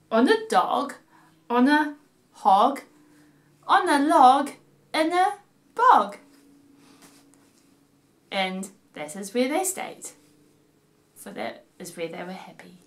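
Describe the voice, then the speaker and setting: A middle-aged woman hosting storytime for children, speaking in a deliberate, emphatic, playful storytelling style. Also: fairly high pitch, sing-song. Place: small home living room. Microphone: camera a few feet away.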